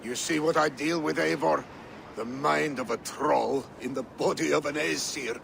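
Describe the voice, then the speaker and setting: An actor playing a weary, pious old Viking counselor and healer in a video game, grave and deferential. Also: male voice, fairly high pitch, steady tone, normal speed, gravelly. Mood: scolding. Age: older. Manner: grave, deferential, gently scolding. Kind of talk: video game cutscene acting. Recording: noisy game ambience behind dialogue.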